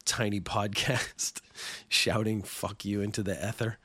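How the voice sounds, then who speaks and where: A man's voice: fairly low pitch, steady tone, a little slow, sharp tone, slightly rough, dry recording. A man hosting a small podcast alone, talking in a rambling, sarcastic, opinionated way. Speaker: podcast host. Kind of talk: casual solo podcast rant.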